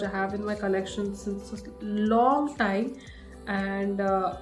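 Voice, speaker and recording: A young woman with an Indian English accent talks through her favourite products in a relaxed, rambling way. Her voice is slightly groggy from a recent cough. The room rings a little, and soft music plays underneath. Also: mid-range voice. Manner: chatty, with many fillers and restarts. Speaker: beauty vlogger. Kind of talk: casual product review to camera. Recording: echoey room with faint music.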